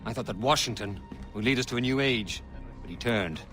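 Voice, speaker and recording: A man playing an earnest, weary veteran rebel leader in a video game, speaking in a measured, oratorical way. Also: mid-range voice, close to an everyday voice. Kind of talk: video game cutscene acting. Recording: loud background music.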